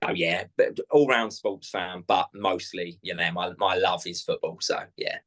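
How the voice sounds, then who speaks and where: Low, flat-voiced South London man. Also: moderate pace. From South London, England. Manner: chatty, rambling with many fillers and restarts. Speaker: club owner, guest. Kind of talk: casual interview chat.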